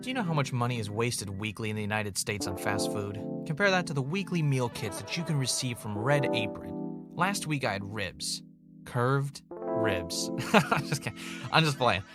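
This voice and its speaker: A man's voice: medium pitch, animated, normal speed, loud music behind the voice. A man giving a playful scripted podcast ad read as the host.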